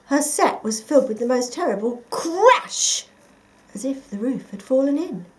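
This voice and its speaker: A woman reading a children's picture book aloud, with expressive, dramatic emphasis for young listeners. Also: high voice, normal speed, poor audio. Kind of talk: expressive scripted story narration.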